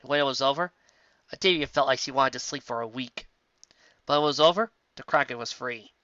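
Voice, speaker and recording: A reader narrating a pony fanfiction story in an expressive audiobook style, with sudden jumps in pitch. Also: a little fast, no echo, dull audio.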